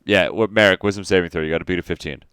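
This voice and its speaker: A man's voice: low-pitched, rapid-fire, a bit bright, smooth, dry recording. A man running a tabletop game as game master, talking casually with joking, sweary asides.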